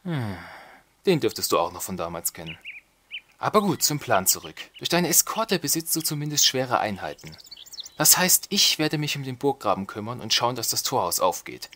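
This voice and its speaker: A man acts out a bumbling medieval lord with a lively, swinging mid-pitched voice. He is teasing and sarcastic and talks in loose everyday slang, as in a comic audio drama. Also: moderate pace, slight background noise. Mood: brisk, planning. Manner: sarcastic, casual and colloquial. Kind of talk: comedic audio drama acting.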